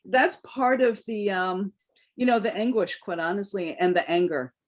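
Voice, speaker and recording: A woman's voice: medium pitch, moderate pace. A woman from New York whose first language is Cantonese, a guest giving a candid, impassioned interview answer. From New York, United States. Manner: earnest, impassioned.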